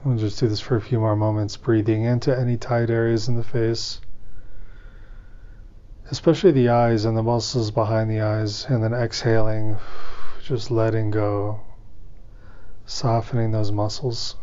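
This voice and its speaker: A man leading a guided meditation as its teacher, giving gentle instructions in short, unhurried phrases with pauses. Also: lowish voice, flat delivery, lo-fi sound.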